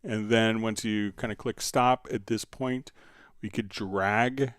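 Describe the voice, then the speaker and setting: A man hosting a casual live software tutorial, thinking aloud tentatively as he demonstrates and answers viewer questions. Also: on the low side, fairly even intonation, takes it slowly, clear, resonant tone, no room sound, clean studio sound. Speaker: livestream host and software instructor.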